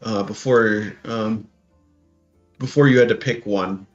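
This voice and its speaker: A man co-hosting a casual tabletop gaming discussion, explaining things in a hesitant, halting way. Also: on the low side, fairly even intonation. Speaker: podcast co-host. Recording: muffled lo-fi mic, faint music.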